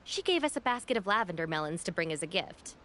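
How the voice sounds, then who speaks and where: A woman's voice: on the low side, big pitch swings, fast, a bit bright, slight background noise. A woman playing a dry, level-headed young gang deputy in a video game, wry and matter-of-fact. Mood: dryly amused.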